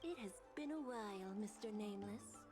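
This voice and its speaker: An actress playing a polite, formal, polished official secretary in an anime game. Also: female voice, medium pitch, expressive, moderate pace, close to an everyday voice. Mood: courteous. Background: game music.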